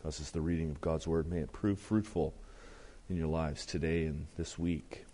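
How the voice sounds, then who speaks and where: A pastor with a very low, strained voice delivers a sermon. He speaks earnestly and builds emphasis as he reads scripture aloud. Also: fairly even intonation, moderate pace, warm tone. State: voice strained, deeper than usual.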